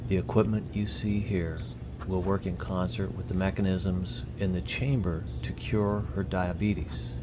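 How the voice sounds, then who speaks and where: A man's voice: low-pitched, level tone, measured pace. A man playing a courteous, composed alien envoy in a science fiction audio drama, formal and precise in speech. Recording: clearly noisy audio drama track.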